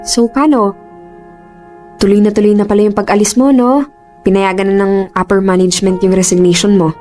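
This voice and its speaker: A woman's voice: medium pitch, steady tone, fairly quick, warm tone, soft background music, close to an everyday voice. A woman with a Filipino accent acting a torn, defensive young office worker in a radio drama. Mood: playful denial. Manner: defensive, emotional.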